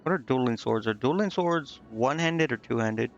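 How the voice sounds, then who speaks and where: A man's voice: mid-range voice, animated, moderate pace, dull audio. A man streaming a game, muttering indecisively to himself in casual commentary as he thinks aloud. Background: game music.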